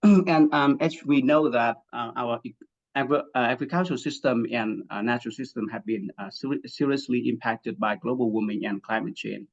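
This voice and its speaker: A man from Vietnam giving an academic webinar talk, halting with many fillers and restarts and frequent throat clearing. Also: medium pitch, muffled recording. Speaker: guest speaker, assistant professor.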